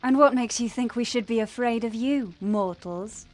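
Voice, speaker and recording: A woman as a haughty, high-voiced demoness. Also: normal speed, darkish tone, natural voice. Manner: haughty, dramatic. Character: proud, defiant female demon devoted to her love. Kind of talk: fantasy game cutscene acting.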